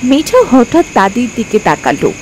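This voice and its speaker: A woman's voice: on the high side, big pitch swings, moderate pace, a little hiss or hum, rough recording. A woman narrating a scripted children's moral story as the storyteller.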